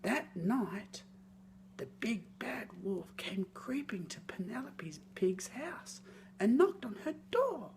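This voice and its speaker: A woman reading a scripted children's story in a theatrical, expressive way, lingering on words. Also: mid-range voice, slow pace, a little hiss or hum.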